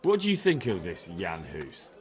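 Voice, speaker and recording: An actor playing an inquisitive, plain-spoken young commoner in a video game, with a dry questioning manner. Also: male voice, deep voice, huge pitch swings, normal speed. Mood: curious. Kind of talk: video game cutscene acting.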